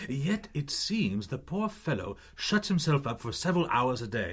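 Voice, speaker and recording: An actor in a radio drama playing a genial, admiring French reformed criminal, with a husky voice and a French accent. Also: male voice, mid-range voice, sing-song, normal speed. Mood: helpful, intrigued.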